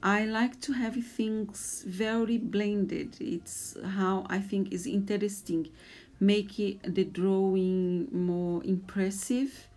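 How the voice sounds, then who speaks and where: A woman in her forties from Brazil, speaking English with a Brazilian Portuguese accent and talking softly and a little hesitantly as she explains a drawing tutorial. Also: medium pitch. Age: 40s. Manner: soft, hesitant, a little nervous. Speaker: art tutor.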